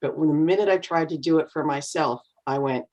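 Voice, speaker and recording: A woman coaching learners in an online English class, explaining calmly and encouragingly over a video call. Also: deep voice, fairly quick. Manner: encouraging, explanatory, acts out nervous voices. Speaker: co-host and speaking coach.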